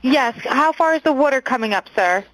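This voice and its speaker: A woman's voice: sits high, animated, brisk, a bit bright. A woman working as a dispatcher on a recorded phone call, asking questions in a polite, businesslike way. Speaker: marine towing dispatcher.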